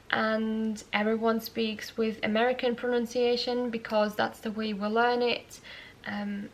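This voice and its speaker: An Austrian woman with a Yorkshire-tinged English accent, answering viewer questions in a casual vlog, talking thoughtfully with fillers and soft laughs. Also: on the high side. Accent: Yorkshire-tinged English picked up as an Austrian speaker. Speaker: knitting vlogger.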